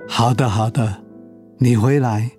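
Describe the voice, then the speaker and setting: A man playing a weary, proud elderly father in a radio drama, with a husky voice. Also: medium pitch, steady tone, slow, darkish tone, faint music behind, lightly acted. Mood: pleading. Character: weary elderly father, proud but pleading, soothing husband.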